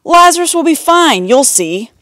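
A woman voicing a calm, reassuring, solemn male religious teacher in a storybook Bible tale. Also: high voice, moderate pace, dry recording, voice pushed up.

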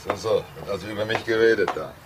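An actor playing an evasive, sardonic lone-wolf police detective in a radio crime drama, with a casual, needling manner. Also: male voice, fairly low pitch, quick pace, lightly acted. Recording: clear background noise.

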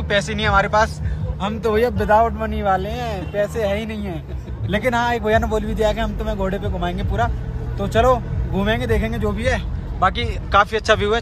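A young man in his twenties vlogging by phone at arm's length, chatting excitedly to viewers outdoors and in moving cars. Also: high-pitched. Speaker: travel vlogger. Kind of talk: casual travel vlog to camera. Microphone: phone held at arm's length. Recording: outdoor street noise. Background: traffic and wind noise.

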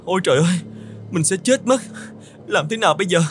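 A man's voice: sits high, animated, fast talker. A man voicing an anxious young male cartoon camel in a whiny, exclamatory, melodramatic way. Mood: panicked pleading. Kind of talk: cartoon voice acting.

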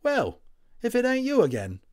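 A man's voice: extremely high pitch, huge pitch swings, moderate pace, a little mellow, pitched above the performer's own voice, full character voice. A man voicing a talkative Cockney First World War army medic in a storybook reading. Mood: chatty. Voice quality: chatty, rough-and-ready working-class speech.

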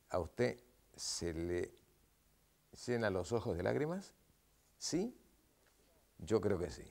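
Lively older male TV host. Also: lowish voice, vocal fry. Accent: Argentine Spanish. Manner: coaxing, playful, repeats short questions. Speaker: TV matchmaking show host. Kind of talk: studio interview and address to camera. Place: TV studio set. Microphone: lapel.

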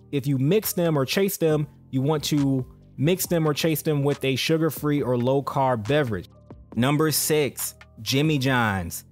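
A man reads a scripted countdown as voice-over for his own fitness channel. He sounds upbeat and direct and keeps speaking straight to the viewer. His voice sits a little high, and soft music plays under it. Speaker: fitness and keto channel host. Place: voice-over over slides, not recorded on screen.

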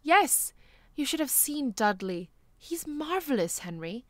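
A woman's voice: high-pitched, sing-song, unhurried, fairly bright tone, dry recording, heavily acted. A woman playing a kindly, enthusiastic bishop's wife in a radio-style drama. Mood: delighted. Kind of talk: radio-play style acting.

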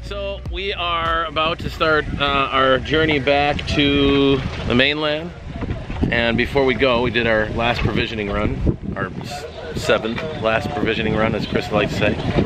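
A man in his forties talking casually to a handheld camera on a sailboat deck outdoors, relaxed and rambling with fillers. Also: mid-range voice, background noise. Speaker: sailing vlogger. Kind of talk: casual vlog talk to camera. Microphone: camera at arm's length.

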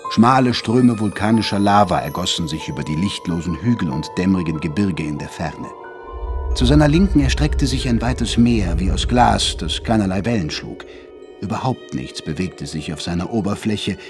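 A man narrating an audio drama in a dramatic, scripted storytelling style. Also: lowish voice. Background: dramatic music.

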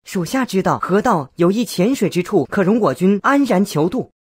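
A woman voicing a cheeky, quick-witted young soldier girl disguised as a man, in a cartoonish game. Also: medium pitch, animated, slightly rough, no echo. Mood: confident. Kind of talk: cartoonish video game acting.

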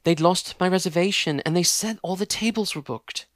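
A man's voice: high-pitched, normal speed, dry recording, studio quality, pitched above the performer's own voice, lightly acted. A man voicing an earnest, plain-spoken young man. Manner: plain and insistent.

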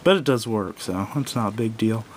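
A man giving a casual, unscripted hands-on product review in a hesitant, rambling, self-correcting way. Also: fairly quick. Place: indoors at a wooden table. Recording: noisy home recording with low rumble.

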